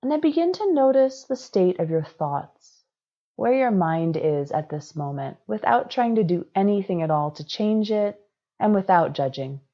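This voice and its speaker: A woman leading a guided meditation in a measured, instructive way, with inviting phrasing. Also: medium pitch, animated, dark tone, lo-fi sound.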